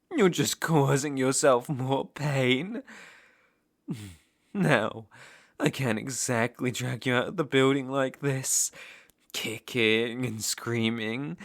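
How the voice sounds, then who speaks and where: A British man playing an obsessive, menacing ex-lover in an ASMR roleplay, speaking with deliberate mock tenderness and possessive mockery. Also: medium pitch, gravelly. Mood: mocking, sadistic, then coldly controlling. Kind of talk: ASMR roleplay audio drama, intimate second-person acting.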